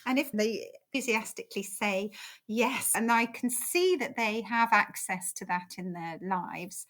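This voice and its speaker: A middle-aged English woman, a poet, talking thoughtfully in an interview, her phrases trailing off softly. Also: medium pitch. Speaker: poet and guest. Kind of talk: conversational interview.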